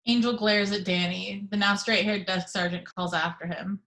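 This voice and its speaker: A woman reading the action descriptions at a script table read, in a plain, even manner. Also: mid-range voice, normal speed, poor audio. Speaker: narrator reading stage directions.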